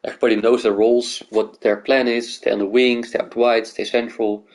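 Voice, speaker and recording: A man's voice: mid-range voice, flat delivery, brisk, a little mellow. A man from the Netherlands and Greece, hosting a gaming video and thinking aloud in loose, rambling casual commentary.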